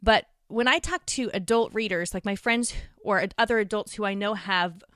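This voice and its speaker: Woman, podcast guest, animated conversational delivery, medium pitch, very dry close sound. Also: moderate pace, no room sound. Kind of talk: conversational interview.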